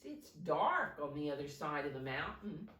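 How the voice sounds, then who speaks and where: A woman's voice: low-pitched, very animated, normal speed, a bit bright. A woman reading a storybook aloud expressively to young children, with simple explanatory asides. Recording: roomy, recorded from a distance.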